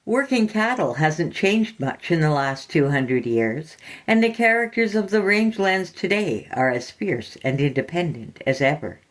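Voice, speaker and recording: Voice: medium pitch, big pitch swings, a little slow, few pauses. A narrator and podcast host reading scripted fiction aloud in a storytelling style.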